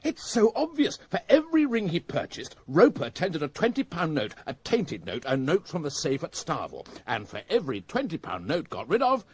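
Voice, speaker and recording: Man, keen police detective, emphatic, highly animated, husky, radio drama. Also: no echo, full character voice. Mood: triumphant, determined. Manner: emphatic, deliberate. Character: keen, self-critical male senior police detective. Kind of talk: BBC radio drama acting.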